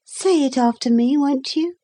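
A woman voicing an earnest, stubborn and excitable young girl in a storybook, with emphatic, childlike, proper phrasing. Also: high-pitched, slow, voice pushed up, exaggerated voice. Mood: earnest, persuading.

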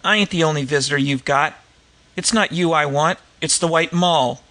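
A man's voice: on the high side, normal speed, pushed and bright. A man playing a snarling hard-nosed cop. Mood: menacing. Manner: curt, snarling. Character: menacing hard-bitten male police detective.

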